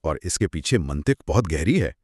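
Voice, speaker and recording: A man co-hosting a scripted explanatory discussion, speaking in a measured, emphatic, didactic way. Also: extremely low pitch, sing-song, darkish tone, breathy edge, no echo, clean studio sound. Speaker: co-host explaining law.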